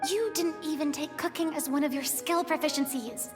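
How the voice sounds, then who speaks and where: Actress doing a bookish young adventurer girl, really high voice, polite, thinking out loud, game character. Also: normal speed, music in the background, close to an everyday voice. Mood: puzzled. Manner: reasoning, mildly hesitant.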